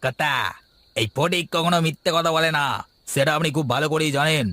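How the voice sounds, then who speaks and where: A man's voice: a little high, fairly even intonation, fairly quick, pushed and bright, no room sound. A man voicing an eager village henchman in a cartoon, emphatic and deferential like an informant. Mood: anxious, deferential. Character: anxious, eager village henchman reporting to his master. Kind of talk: Bengali moral-story cartoon dubbing.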